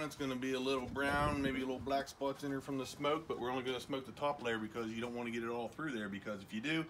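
A plainspoken man in his forties chats his way through a home cooking video with easy, folksy jokes. His voice sits at an ordinary pitch for a man. The phone picks him up from a distance, with gusts of wind buffeting the mic. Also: fairly bright tone. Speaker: home cooking vlog host. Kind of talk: casual cooking show chat. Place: outdoors by a smoker in wind and snow.

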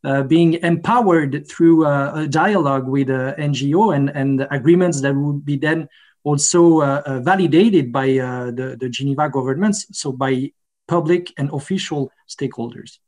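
A man's voice: fairly high pitch, moderate pace, darkish tone. A man moderating a video-call panel, asking long, searching questions.

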